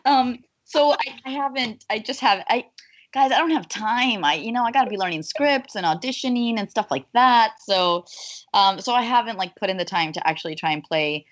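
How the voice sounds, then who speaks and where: A young woman from Colombia, a voice actor guesting on an interview, chatting in an animated, bubbly way with lots of fillers. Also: on the high side, normal speed. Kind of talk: casual interview chat. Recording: dull lo-fi call audio.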